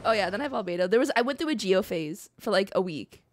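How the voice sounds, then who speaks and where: A woman's voice: mid-range voice, animated, fast, dry recording, clean studio sound. A woman streamer chatting casually about her play in a rambling, self-deprecating way. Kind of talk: casual chat on a game livestream.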